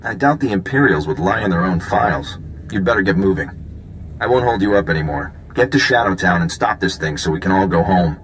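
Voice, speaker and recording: Casting: a matter-of-fact intelligence agent, slightly low, urgent and wry, game dialogue with faint ambience. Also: male voice, animated, fairly quick, fairly bright tone, a little hiss or hum, low-quality recording. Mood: brisk, wry. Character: brisk, wry male secret intelligence agent. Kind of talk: video game voice acting.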